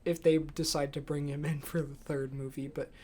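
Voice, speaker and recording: A man's voice: fairly high pitch, flat delivery, moderate pace, dark tone. A young American man co-hosting a podcast in a casual, conversational style. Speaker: podcast co-host. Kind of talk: casual chat.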